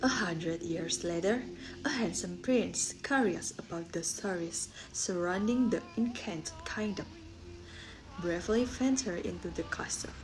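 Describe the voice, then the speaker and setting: A woman's voice: on the low side, measured pace, noisy background. A young woman giving a scripted storytelling presentation as a student.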